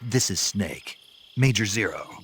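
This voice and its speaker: Gruff, low-voiced secret agent. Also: male voice, expressive, measured pace, background noise. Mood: tense, terse. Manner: terse, gruff. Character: gruff, hard-bitten American male secret agent.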